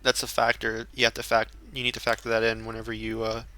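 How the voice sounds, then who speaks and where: A man's voice: medium pitch, monotone, fast talker, sharp tone. A young man chatting casually as a podcast panelist, on an amateur internet recording. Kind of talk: casual chat.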